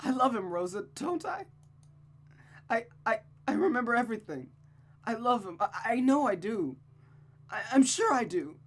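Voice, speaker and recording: Woman acting out a high, distraught, unravelling young woman. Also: big pitch swings, normal speed, pauses often. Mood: anguished, confused. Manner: stammering, sobbing, pleading. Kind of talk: dramatic visual novel reading.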